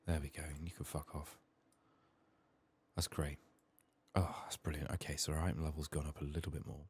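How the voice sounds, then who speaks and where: A man with a sick, strained voice murmuring soft-spoken ASMR gaming commentary, sometimes near a whisper, thinking aloud close to the mic. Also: level tone, a little fast. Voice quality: husky, gravelly, very low. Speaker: game streamer making a playthrough.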